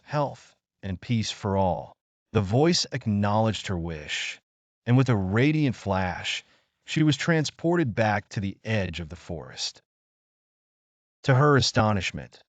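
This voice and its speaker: A man narrating a scripted children's story in a measured, earnest storybook manner. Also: on the low side, dry recording.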